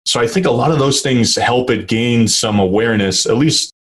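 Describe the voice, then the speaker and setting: A man's voice: mid-range voice, normal speed, breathy edge. A young man from New Hampshire, a real estate investor being interviewed, talking casually and thoughtfully with frequent restarts and fillers. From New Hampshire, USA.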